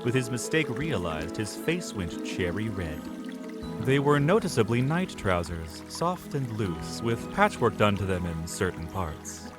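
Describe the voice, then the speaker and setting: A man's voice: fairly low pitch, big pitch swings, measured pace, over music. A man narrating an audio drama in a dramatic, scripted storytelling style.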